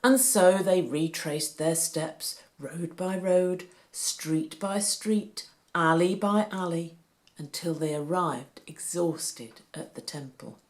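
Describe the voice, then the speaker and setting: A woman reading a Bible story aloud for children, with a deliberate, animated storytelling delivery. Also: fairly low pitch.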